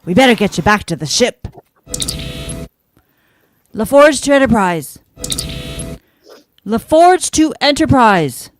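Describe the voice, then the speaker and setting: Earnest, emphatic starship engineer for a sci-fi radio play, woman playing the man. Animated, measured pace. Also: a little high, a little hiss or hum. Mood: concerned, practical. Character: concerned male starship engineer, earnest and practical. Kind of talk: live script read, sci-fi radio theatre.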